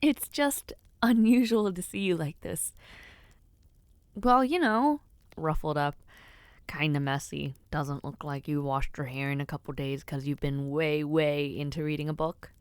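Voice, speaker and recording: A woman playing a giddy, bashful young noblewoman in an intimate romance audio roleplay, affectionate and teasing, with soft hesitations. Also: sits low, fairly even intonation, a little slow, clear, resonant tone, no echo, clean studio sound. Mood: playful teasing. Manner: soft hesitations, gentle teasing, shy giggles. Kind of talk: intimate scripted audio roleplay, speaking to the listener.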